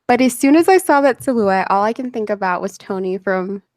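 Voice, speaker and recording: A woman hosting a casual livestream interview, her voice hoarse and scratchy as she chats and giggles. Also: medium pitch, lively intonation, brisk, a little mellow, no room sound, studio quality. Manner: chatty, giggly, reads chat messages aloud. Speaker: livestream host interviewing a guest.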